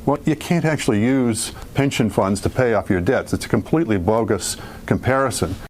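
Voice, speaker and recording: A man's voice: mid-range voice, brisk, darkish tone, slight background noise. A man giving critical political commentary as a panelist.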